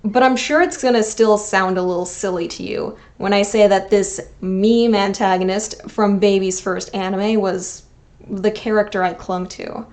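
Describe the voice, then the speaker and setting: A woman's voice: mid-range voice, a little mellow. A woman in her twenties narrating a personal video essay as channel host, earnest and sentimental with wry, self-deprecating asides. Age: 20s.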